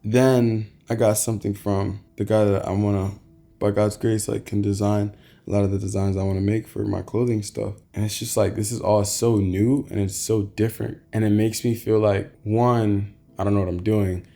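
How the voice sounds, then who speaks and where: A young man vlogging in a soft, reflective, rambling way, with trailing fillers. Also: lowish voice, level tone, moderate pace. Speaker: content creator. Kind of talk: casual vlog talk.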